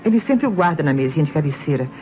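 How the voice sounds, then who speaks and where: Brief: anxious Brazilian wife under questioning, emphatic, widely swinging intonation, vintage radio play, dull sound. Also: female voice, low-pitched, expressive, fast, warm tone. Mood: earnest, nervous. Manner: dramatic, emphatic, earnest. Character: anxious, insistent married woman giving testimony to police. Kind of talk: old Brazilian radio drama acting. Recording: dull vintage radio recording, faint music.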